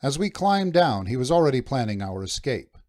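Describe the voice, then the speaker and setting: A man reading scripted first-person adventure fiction as an audiobook narrator, in a measured, dramatic storytelling manner. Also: medium pitch, very animated, a little mellow, dry recording, clean studio sound.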